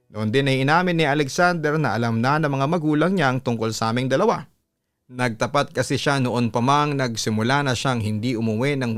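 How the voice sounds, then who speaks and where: A Filipino man narrates a scripted personal story as a radio announcer would. His voice sits at a middle pitch. The sound is dry, with music playing behind him. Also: a bit bright, no echo. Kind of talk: scripted narration of a listener's letter. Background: background music.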